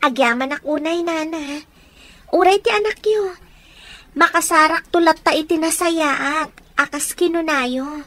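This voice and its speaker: An actress playing a gentle, deferential young woman in a radio drama, with an Ilocano accent. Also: high-pitched, measured pace, pauses often, no echo. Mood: earnest, respectful.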